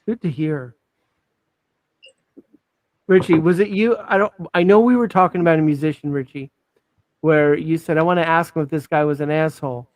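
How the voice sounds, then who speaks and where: A man's voice: fairly high pitch, normal speed, long pauses, dry recording. A man hosting a casual talk show, easygoing and conversational. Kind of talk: casual chat.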